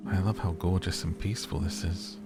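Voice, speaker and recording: A man with a deep, low voice talks his way through a calm exploration game, easygoing and chatty, turning to his viewers now and then. Gentle game music plays clearly behind him. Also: fairly quick. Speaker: gaming YouTuber. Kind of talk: relaxed game playthrough commentary. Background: soft game music.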